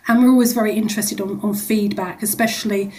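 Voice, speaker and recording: A woman with a mid-pitched voice speaks as an interview guest. She explains things conversationally and informally, in the chatty manner of a charity executive on the radio. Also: steady tone, quick pace, a little mellow, a little hiss or hum.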